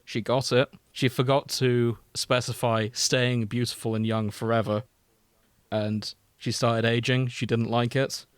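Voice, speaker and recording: A man's voice: medium pitch, flat delivery, measured pace, clean tone, no room sound. A man co-hosting a podcast, chatting casually about a TV series in an informal, sweary, wry way. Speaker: podcast co-host.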